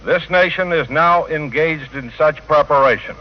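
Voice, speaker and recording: A man, a government official, reading a solemn formal message with measured pauses on an old 1940 radio broadcast. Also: fairly high pitch, highs cut off. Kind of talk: solemn formal read speech.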